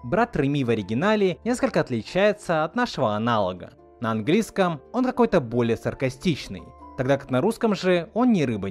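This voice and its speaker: A man narrating a scripted video essay as a host, with a commentator's delivery. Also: medium pitch. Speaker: video host reviewing film dubbing. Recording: voice-over with music underneath. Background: background music.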